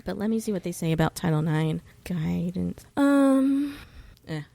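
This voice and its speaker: Woman podcast guest, kinda low voice, explains stuff casually n hesitantly, dry sound. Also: expressive, a little slow, gravelly, warm tone, no echo. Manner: informal, sweary, hesitant while recalling details. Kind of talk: casual conversational explanation of legal issues.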